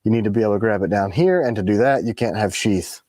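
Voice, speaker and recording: A man with a raspy voice, tired in the morning, co-hosting a casual product livestream and explaining the details of the items he shows. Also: fairly low pitch, fast, a little mellow, no room sound, clean studio sound. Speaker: co-host of a knife company livestream. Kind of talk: casual live chat about products.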